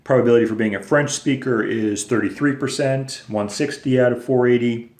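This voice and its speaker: A man's voice: medium pitch, fairly quick, a little mellow. A man teaching a recorded lesson, explaining patiently step by step with casual fillers. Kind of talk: recorded lecture video. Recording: echoey room.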